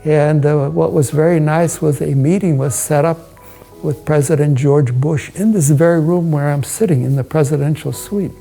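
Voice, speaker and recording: An American man gives a promotional interview as a business owner, with soft music behind him. His voice is relaxed and mellow with a noticeable fry. He talks in measured, halting short phrases, as if recalling things one piece at a time. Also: a little high. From United States. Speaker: hotel owner and investor. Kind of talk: promotional interview, personal recollection. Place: indoors, hotel suite.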